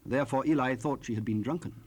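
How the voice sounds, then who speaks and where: A man narrating scripture in a solemn, measured, formal style for an audio drama. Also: mid-range voice, slightly rough.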